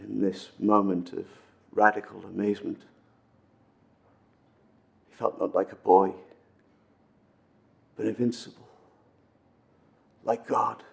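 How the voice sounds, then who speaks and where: A man acting a dramatic monologue as an earnest, wistful storyteller recounting an old legend, with intense pauses and swells. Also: fairly low pitch, fairly even intonation, gravelly, full character voice. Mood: grave, sorrowful. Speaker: amateur actor performing a film monologue.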